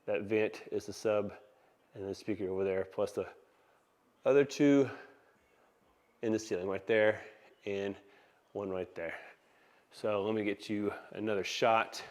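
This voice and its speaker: A man with a fairly low, smooth voice walks viewers through an audio install. He speaks informally and with enthusiasm, like an installer proud to sell his work. It is recorded on a handheld phone inside an open living room. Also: fairly even intonation, a little slow, long pauses, darkish tone. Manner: informal, enthusiastic salesman-like patter. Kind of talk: casual video walkthrough. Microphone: handheld phone camera.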